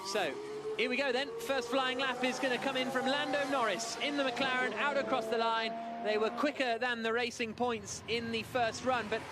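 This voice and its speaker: A man's voice: extremely high pitch, steady tone, normal speed, heavy background noise. A man giving live motor racing commentary, with race cars and track ambience behind him.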